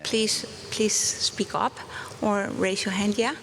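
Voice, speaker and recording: A woman presenting at a conference workshop, talking gently and encouragingly, with halting restarts. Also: medium pitch, fairly even intonation. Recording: conference hall reverb, faint noise.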